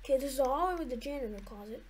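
A woman's voice: sits high, lively intonation, very fast, darkish tone. A woman giving nervous, jumpy commentary to her viewers while playing a horror game. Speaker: gaming YouTuber.

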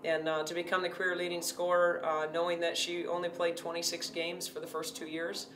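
A woman in her forties, a head basketball coach, giving a steady, measured post-game interview with many fillers. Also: fairly low pitch. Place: indoor press backdrop. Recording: roomy, distant, faint hum.

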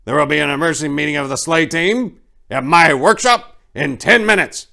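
A man voicing Santa as an authoritative old master of ceremonies in a dramatic storybook reading, loud and commanding. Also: a little high, moderate pace, vocal fry, voice pushed up. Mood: stern, commanding.

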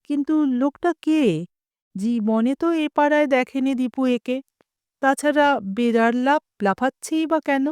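A woman with a Bengali accent reading a story aloud in a slow, clear, expressive way. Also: sits high, no echo, clean studio sound. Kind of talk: scripted story narration.

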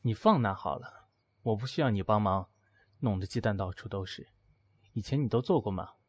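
A man's voice: fairly low pitch, animated, brisk, breathy edge. An actor playing a proud, hurt young man in an audio drama, with a curt, emotional delivery. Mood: cool. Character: proud, hurt young man, prickly toward his lover. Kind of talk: audio drama acting in Mandarin.

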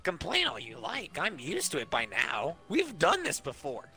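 A gleeful, menacing robot bear from a video game, acted out by a man, pitched fairly high and bright. The delivery swings up and down in a taunting announcer's manner, with music underneath. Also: wildly swooping pitch, normal speed, gravelly, exaggerated voice.

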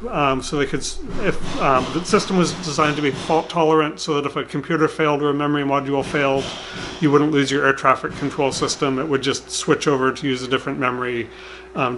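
A middle-aged man giving an informal technical explanation in a halting, hesitant way. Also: mid-range voice, fairly bright tone, poor audio. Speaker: guest expert. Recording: clear background room noise.

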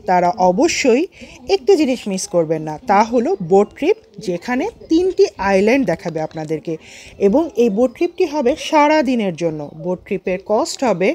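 A woman's voice: fairly high pitch, dark tone. A woman in her thirties with a Bengali accent, chatting to viewers from a moving car while narrating her road trip. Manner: chatty, addresses viewers directly. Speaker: travel vlogger. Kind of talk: casual travel vlog narration.

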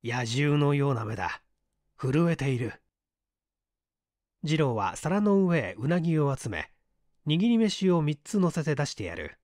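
A man's voice: on the high side, very animated, unhurried, long pauses, a little mellow, dry recording, studio quality. A man reading literary fiction aloud as scripted audiobook narration. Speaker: audiobook narrator, stage actor.

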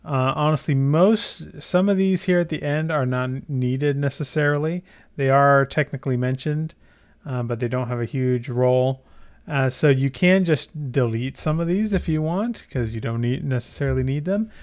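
Clear-voiced man giving a tutorial. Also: medium pitch, moderate pace, a little mellow. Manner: conversational, explanatory, thinking aloud while typing. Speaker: tutorial host. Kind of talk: casual software tutorial with screen share.

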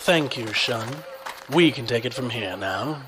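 Actor as slow-spoken, menacing wealthy crime boss. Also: male voice, mid-range voice, lively intonation, background noise, lightly acted. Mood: cool, businesslike. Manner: formal, measured, proverb-quoting. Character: wealthy, punctilious crime-boss creditor, man, coolly menacing. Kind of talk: audio drama acting.

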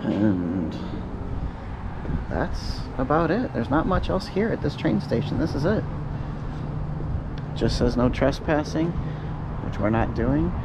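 A man in his forties giving a casual, upbeat walking tour of a small outdoor train platform, filmed on a handheld action camera. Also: mid-range voice. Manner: chatty, upbeat, narrates as he films. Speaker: travel vlogger. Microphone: action camera held at arm's length. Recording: loud wind and outdoor noise.

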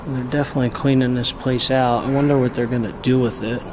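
A man casually narrating a walkthrough of an empty jail, pointing things out in a low-key, conversational way. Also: fast. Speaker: urban explorer host. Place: large empty jail building indoors. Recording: camera audio with steady background noise.

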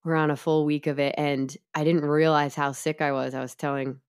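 A woman with a hoarse, tired voice, chatting casually as a podcast co-host and laughing often. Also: low-pitched, level tone, brisk, a little mellow. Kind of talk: casual chat.

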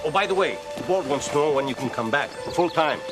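An actor playing a friendly middle-aged university colleague in a natural screen style. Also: male voice, on the high side, animated, fairly quick, natural voice. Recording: loud music over dialogue.

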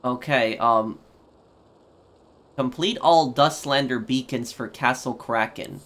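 A man's voice: mid-range voice, takes it slowly, fairly bright tone. A man giving casual let's play commentary, hesitantly thinking aloud as he goes. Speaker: gaming YouTuber.

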